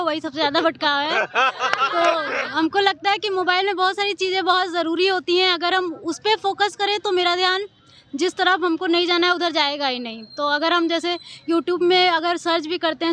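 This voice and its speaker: High-voiced young woman explaining calmly. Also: brisk. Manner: earnest, explaining step by step. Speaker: gold medalist graduate. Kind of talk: interview answers.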